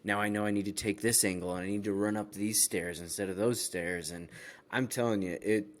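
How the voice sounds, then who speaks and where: A man's voice: on the low side, steady tone, a little fast. A man co-hosting a casual gaming podcast, speaking earnestly and repeating phrases for emphasis. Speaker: podcast co-host.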